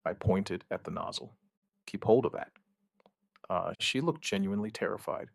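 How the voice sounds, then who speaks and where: A man playing an outbreak survivor who gives a wry, weary witness account in an audio drama, in short, halting, understated phrases. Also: sits low, animated, gravelly, no room sound, exaggerated voice. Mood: grim, tense. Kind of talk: scripted first-person audio drama narration.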